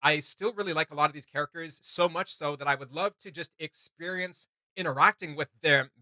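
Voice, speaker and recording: Bright-voiced animated man, casual co-host. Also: fairly high pitch, fairly quick, no echo. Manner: animated, searches for words aloud. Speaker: reaction video co-host. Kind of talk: casual rambling discussion to viewers.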